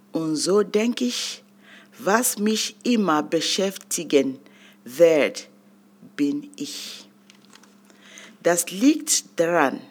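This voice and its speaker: An older woman from Cameroon, whose first language is not German, reading her own memoir aloud in a deliberate way with long pauses. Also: fairly low pitch. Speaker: autobiographical reader.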